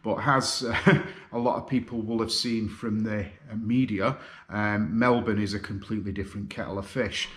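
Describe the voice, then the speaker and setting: A man in his fifties with a Lancashire accent, giving a personal video statement from his living room, earnest and halting with many fillers. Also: fairly low pitch, steady tone, fairly bright tone. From North West England. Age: 50s. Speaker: video contributor. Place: living room, seated on a sofa.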